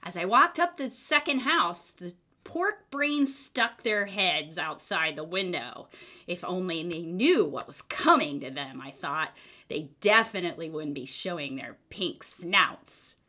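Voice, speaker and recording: A woman reading a children's book aloud in an expressive, theatrical storytelling style. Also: lowish voice, a little slow. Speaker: storybook reader.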